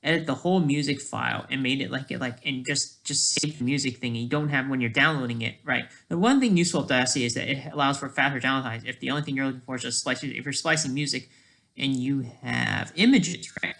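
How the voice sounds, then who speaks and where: Casual video creator, mid-range pitch with heavy vocal fry, rambles and thinks out loud. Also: quick pace. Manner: rambling, thinking aloud, frequently digressing. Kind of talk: casual rambling screen-share commentary.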